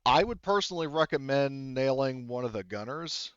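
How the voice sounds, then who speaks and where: A man's voice: medium pitch, expressive, moderate pace, clear, resonant tone, no room sound, lo-fi sound. A man acting as game master, casually thinking aloud as he narrates dice rolls and rulings.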